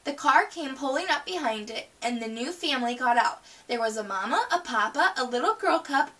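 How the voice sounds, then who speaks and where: A woman reads a children's story in a high, very lively voice. Her tone is bright and expressive, with the odd stumble, and she sounds set back from the microphone. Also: brisk, far from the mic. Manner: expressive reading, stumbles over words. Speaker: storyteller reading aloud. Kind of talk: read-aloud of a children's picture book.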